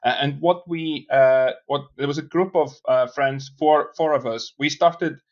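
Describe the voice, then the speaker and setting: A man from Iceland, for whom English is not a first language, talks through his answers haltingly, with frequent "uh"s and restarts. His voice sits a little higher than most men's. The recording sounds slightly dull and muffled. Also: steady tone. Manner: hesitant, many restarts and uhs. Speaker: guest, startup founder. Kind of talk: casual interview talk.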